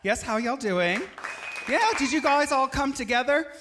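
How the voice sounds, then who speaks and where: A man's voice: very high voice, animated, moderate pace. A drag queen comedian teasing the audience in stand-up, on stage with a handheld mic. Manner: cheeky crowd teasing. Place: theater stage with live audience. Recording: loud crowd and noise.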